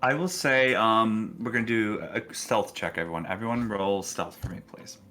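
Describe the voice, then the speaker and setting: A man acting as game master, narrating casually and haltingly with frequent pauses. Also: lowish voice, bright, forceful tone, faint music behind. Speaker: game master of a tabletop role-playing game.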